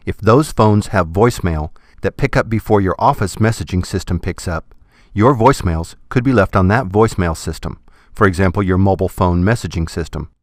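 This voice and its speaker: Man, deep voice, close dry sound, methodical scripted tutorial narration, instructional. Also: no room sound.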